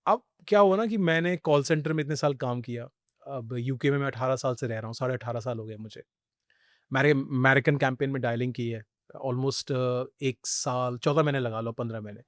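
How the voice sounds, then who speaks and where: A man's voice: mid-range voice, a little fast, dry recording, clean studio sound. A middle-aged man from India, a podcast guest telling stories in a conversational way, often dropping English phrases into his Hindi. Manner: mixes Hindi with English phrases, animated storytelling. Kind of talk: conversational interview storytelling.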